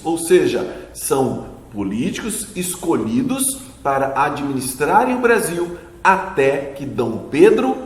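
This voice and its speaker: Chatty teacher in an echoey room. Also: male voice, fairly high pitch. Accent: Brazilian Portuguese. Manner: chatty, asks questions back, frequent "né?" tags. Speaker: history teacher. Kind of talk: casual video lesson. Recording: echoey room with long reverb.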